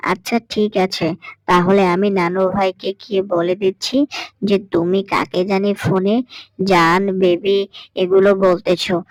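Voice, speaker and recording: A woman's voice: mid-range voice, dry recording, lightly acted, low-quality recording. Woman voicing a whiny little boy. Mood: scheming. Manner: whiny, wheedling child voice. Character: pleading little boy craving ice cream. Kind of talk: cartoon voice acting.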